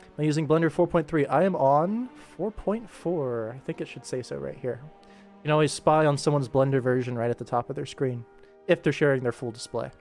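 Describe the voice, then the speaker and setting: A man's voice: fairly high pitch, brisk, vocal fry, darkish tone. A man chatting casually as a livestream host while he works, with a dry, tongue-in-cheek sense of humour. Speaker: livestream host, animation director. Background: music.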